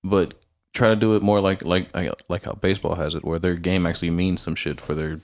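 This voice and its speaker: A man's voice: deep voice, fairly even intonation, brisk. A man co-hosting a podcast, laid-back, giving his opinions in casual chat. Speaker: podcast co-host.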